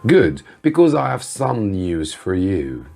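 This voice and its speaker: A man's voice: low voice, sing-song, slow pace, vocal fry, a little mellow, faint music behind, voice pushed up, full character voice. A man voicing an eager, approving sister-in-law in a storybook reading, gushing and emphatic. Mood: earnest, persuading. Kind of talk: audiobook-style storybook reading.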